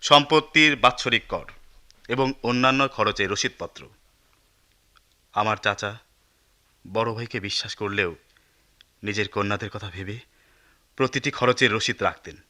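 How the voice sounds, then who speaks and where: Forceful, righteous young man. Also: mid-range voice. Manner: declamatory, emphatic, deliberate phrasing. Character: earnest, righteous educated young man testifying in court. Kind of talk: radio drama acting.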